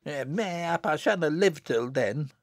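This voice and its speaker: A high-pitched, peevish little girl from a storybook, acted out by a man, with a Lancashire accent. The delivery swoops about and bites, sharp, taunting and full of threat, on a close, dry recording. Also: huge pitch swings, measured pace, breathy edge, dry recording, exaggerated voice. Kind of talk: audiobook character acting.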